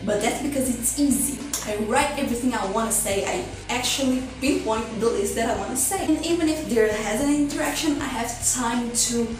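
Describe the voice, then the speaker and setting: A woman's voice: on the high side, fairly quick, few pauses, far from the mic. Brazilian woman vlogging in an echoey room. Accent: Brazilian Portuguese. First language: Portuguese. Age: young adult. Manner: self-deprecating, chatty, animated swings. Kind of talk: candid personal vlog monologue. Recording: echoey room, off-mic, music under.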